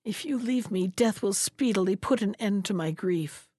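A woman's voice: medium pitch, moderate pace, dark tone, no echo, clean studio sound, natural voice. A woman voicing an old, lonely male turtle who speaks in a shaky, pleading way in a storybook reading.

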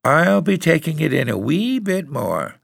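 A man's voice: fairly high pitch, big pitch swings, a little slow, vocal fry, fairly bright tone, no echo, clean studio sound, pitched above the performer's own voice, full character voice. A man voicing a headstrong, earnest girl of about ten in an audiobook story. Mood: determined. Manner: earnest, stubborn. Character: headstrong little Irish girl of nine or ten.

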